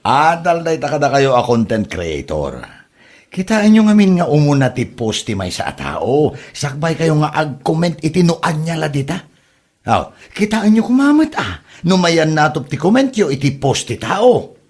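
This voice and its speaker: Man acting mocking neighbour, swooping highish voice. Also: big pitch swings, moderate pace, darkish tone. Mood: scolding. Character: mocking, scolding village neighbour man, quick to chuckle. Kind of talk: Ilocano comedy radio drama acting.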